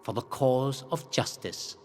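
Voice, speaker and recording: A man reading scripted historical narration in a measured, dramatic storytelling manner with emphatic phrasing. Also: mid-range voice, lively intonation. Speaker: narrator of a local history series. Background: music bed.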